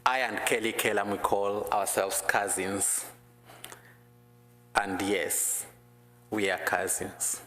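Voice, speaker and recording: Guest preacher, man, non-native English speaker, low voice, slow deliberate delivery, church echo. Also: fairly even intonation, sharp tone. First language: not English. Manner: slow, deliberate, careful phrasing. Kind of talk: prayer and sermon. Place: church sanctuary. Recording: echoey church hall.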